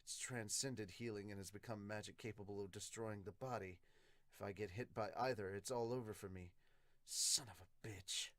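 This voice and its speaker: A man's voice: lowish voice, steady tone, moderate pace, fairly bright tone, dry recording, close to an everyday voice. A man voicing a weary, blunt, sardonic male knight captain in a manga dub. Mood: tense.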